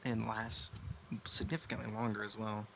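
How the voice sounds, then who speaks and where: A man's voice: lowish voice, little pitch movement, normal speed, vocal fry, background noise. A man giving casual, musing gameplay commentary, often correcting himself as he goes. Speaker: game streamer. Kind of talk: casual gameplay commentary, reading out dialogue choices.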